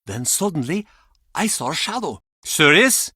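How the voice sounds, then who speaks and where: A crusty old seafarer from a video game. His forceful voice leaps up and down as he grumbles curtly or spins grand, theatrical yarns, all captured close and dry. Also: fairly high pitch, sing-song, unhurried, slightly rough, dry recording, exaggerated voice. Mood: boastful storytelling. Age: older. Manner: blustering, curt, theatrical storytelling. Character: gruff, cantankerous old fisherman given to tall tales. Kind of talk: video game character acting.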